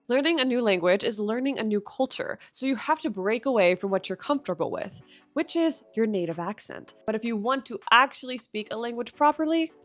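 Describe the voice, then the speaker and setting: Upbeat woman teaching English to learners. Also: a little high. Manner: encouraging, direct advice to learners. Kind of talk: scripted language-learning lesson.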